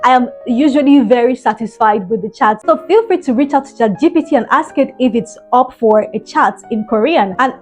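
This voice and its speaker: A woman's voice: on the high side, a little fast, few pauses, soft background music. A young woman vlogger giving earnest, encouraging study tips straight to camera, often repeating words for emphasis. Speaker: language-learning vlogger. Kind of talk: tips vlog, direct to camera.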